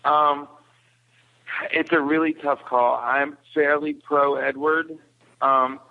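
A man's voice: fairly high pitch, flat delivery, takes it slowly, gravelly, phone-quality sound. A man speaking casually as a podcast guest reviewer, hesitant and restarting his phrases.

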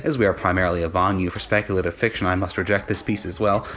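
A man reading a scripted formal letter as an editor, with a deadpan, officious manner. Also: low-pitched, fast talker. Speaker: managing editor.

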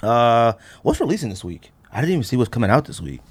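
A man with an American accent hosts a podcast in a medium-pitched voice. He sounds a bit under the weather and talks in loose, slangy, informal phrases, as in a casual chat. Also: sing-song, fast, husky. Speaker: podcast host.

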